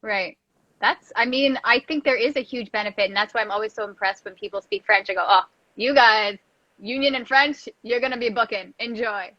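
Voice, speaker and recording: Woman host, higher pitch, bright, dry dull call audio, playful. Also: brisk. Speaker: host, interviewer. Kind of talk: casual interview chat. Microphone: video call.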